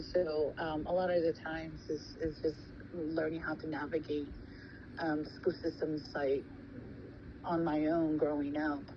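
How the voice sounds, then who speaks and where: A woman in her thirties, born in Thailand and raised in California, answering interview questions slowly, with frequent pauses and ums. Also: low-pitched. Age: 30s. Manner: hesitant, many pauses and ums. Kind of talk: casual recorded interview. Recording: muffled with steady background noise.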